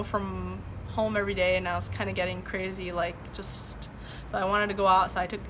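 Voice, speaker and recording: A bright, clear-toned woman whose first language is Farsi hosts a casual live stream from a room at home. She talks earnestly, circling back with frequent "um"s and restarts as she answers her chat, with a faint hum of noise underneath. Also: mid-range voice, low-quality recording. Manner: earnest, lots of fillers and restarts. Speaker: livestream host. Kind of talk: casual political commentary, reading chat. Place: home room with boxes behind.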